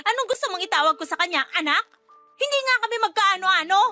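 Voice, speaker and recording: Very high, sharp-voiced Filipino wife. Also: female voice, lively intonation, quick pace, no echo, soft background music, full character voice. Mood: hurt, resentful. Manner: exasperated, defensive, sharp-tongued. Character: resentful, hot-tempered adoptive mother and wife. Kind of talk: Filipino radio drama acting.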